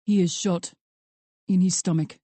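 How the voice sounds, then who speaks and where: Woman acting male villain, Russian accent, lowered mellow voice, calm, coldly amused, deliberate, thriller audiobook. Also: no room sound. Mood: cool, conversational. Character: calm, menacing, well-dressed Russian male captor.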